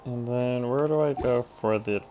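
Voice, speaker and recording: A woman's voice: a bass voice, a little slow, mellow, low-quality recording. A woman giving offhand, casual live commentary as she plays a video game, muttering questions to herself. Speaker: game streamer. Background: game music.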